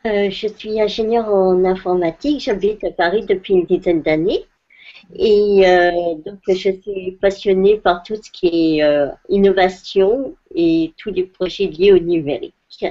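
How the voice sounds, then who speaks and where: Woman in her thirties, mellow, video call. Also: mid-range voice, fairly even intonation, measured pace. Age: 30s. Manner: hesitant, many fillers. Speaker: participant, software engineer. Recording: dull lo-fi video call audio.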